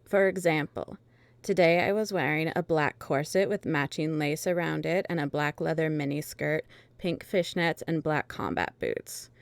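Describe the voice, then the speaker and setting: A woman's voice: deep voice, fairly even intonation, moderate pace, gravelly, no room sound. A woman reading fan fiction dramatically as a melodramatic, self-absorbed teenage goth vampire witch. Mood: self-absorbed, matter-of-fact.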